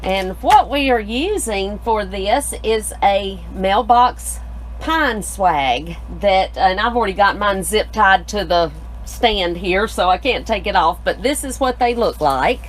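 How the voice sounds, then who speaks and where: A woman's voice: medium pitch, lively intonation, takes it slowly, a little hiss or hum. A middle-aged woman co-hosting a live craft tutorial in an indoor studio, affirming her partner and explaining steps plainly. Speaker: co-host, craft designer.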